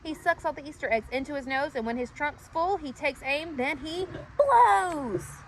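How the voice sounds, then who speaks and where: A woman storyteller reading a rhyming children's story aloud in an animated style. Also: high-pitched, normal speed, slight background noise, poor audio.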